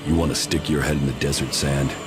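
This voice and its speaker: A man playing a stoic, blunt ex-commander with a gravelly voice in a video game. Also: rumbling low, fairly even intonation, fast talker, heavy background noise. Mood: grim, firm. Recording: loud music behind.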